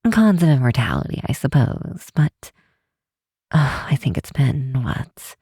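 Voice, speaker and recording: Woman playing a slow, mellow, affectionate vampire researcher. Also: rumbling low, sing-song, slightly rough, no room sound, clean studio sound, full character voice. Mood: playful, affectionate. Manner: tender, teasing, chuckling, hesitant, unhurried. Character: affectionate, bookish vampire researcher, a close friend. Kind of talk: ASMR roleplay, one side of a late-night phone call.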